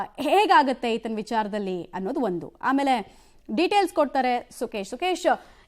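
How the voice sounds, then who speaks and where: Female TV news anchor, Karnataka accent, high register, emphatic live delivery, faint music bed.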